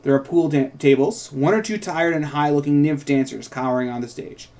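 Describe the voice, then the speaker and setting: A man with a fairly high voice hosts a casual script read. He narrates scene directions, then drifts into chatty explanations, often interrupting himself along the way. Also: a little fast, mellow. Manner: rambling, self-interrupting explanations. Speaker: podcast host and co-writer reading stage directions of his own script. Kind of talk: informal script table read with chatty asides.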